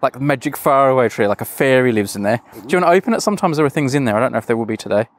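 A man's voice: medium pitch, animated, very fast. A man chatting casually as a podcast host. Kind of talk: casual chat.

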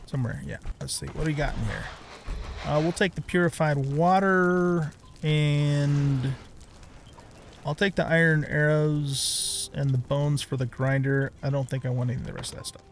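A man's voice: on the high side, steady tone, normal speed. A middle-aged or older man giving casual let's play commentary, rambling as he thinks aloud. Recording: clear background noise.

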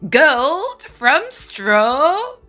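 A woman voicing a greedy male king who gives orders slowly and loudly in a storybook reading. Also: very high voice, sing-song, soft background music, pitched above the performer's own voice, exaggerated voice. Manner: slow, loud, commanding.

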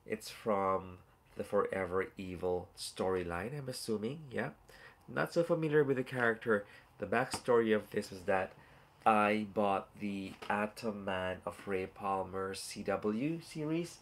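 A man's voice: on the low side, animated, measured pace, a little hiss or hum. A man in his forties with a stuffed-up, nasal voice, chatting casually and rambling with live viewers from a small room at home, recorded on a phone or webcam at arm's length. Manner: rambling and chatty, full of fillers and asides to viewers. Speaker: toy collector hosting a live unboxing. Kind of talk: casual live-stream chat.

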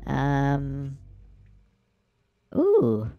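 A woman hosting a let's play, giving excitable, playful game commentary with gasps and shrieks at surprises. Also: very deep voice, extremely slow, no echo, dull audio.

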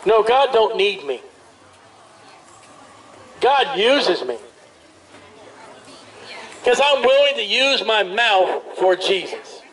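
A man preaching outdoors through a portable speaker, loud and emphatic, with drawn-out hammering phrases. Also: high voice, expressive, a faint crowd. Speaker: street preacher. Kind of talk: amplified open-air preaching. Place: outdoors at a busy street festival. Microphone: amplified through a portable speaker. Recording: distant outdoor recording with ambient noise.

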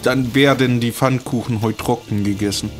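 A man's voice: medium pitch, steady tone, moderate pace, over music. A man giving casual, dry, joking commentary as he plays a video game. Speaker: let's player commenting on gameplay.

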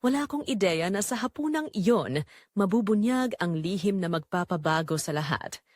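Female storyteller for romantic audio drama: expressive, varied intonation, studio-dry sound. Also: medium pitch, normal speed, no echo. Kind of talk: scripted first-person dramatic narration.

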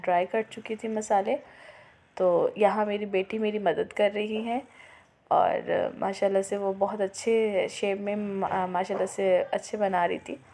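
A middle-aged Pakistani woman, a little unwell, giving an informal step-by-step recipe voice-over with hesitant pauses. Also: medium pitch, creaky, warm tone. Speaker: home cook and video host. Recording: noticeable background noise, home recording.

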